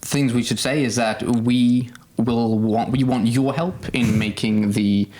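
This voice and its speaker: A teenage male podcast co-host making a casual announcement in a halting, explanatory way. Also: mid-range voice, fairly even intonation, a little mellow. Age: teens. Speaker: student podcast co-host and coordinator.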